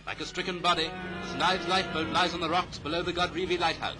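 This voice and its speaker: A British man narrates a 1930s newsreel in a fairly high voice. His scripted commentary is clipped and formal to the period. It comes from a vintage soundtrack, with music clearly playing behind him. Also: level tone, quick pace, poor audio.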